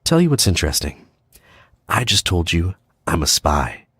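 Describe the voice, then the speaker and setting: A man playing a cocky, needling American spy in an audio drama, casual and clipped, with quiet menace. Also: low voice, big pitch swings, moderate pace, breathy edge, no echo, studio quality, full character voice. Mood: cocky, teasing.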